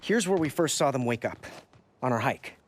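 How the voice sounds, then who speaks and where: An actor playing an earnest, excitable teenage boy adventurer in a game, with an eager, expressive way of talking. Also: medium pitch, moderate pace, natural voice. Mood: thoughtful.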